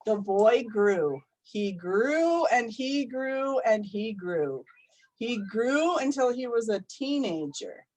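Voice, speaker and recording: Woman reading a kids book out loud, real slow, kinda high voice, soft storybook rhythm. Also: animated, low-quality recording. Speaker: mother reading a storybook aloud to a child. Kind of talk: read-aloud children's story.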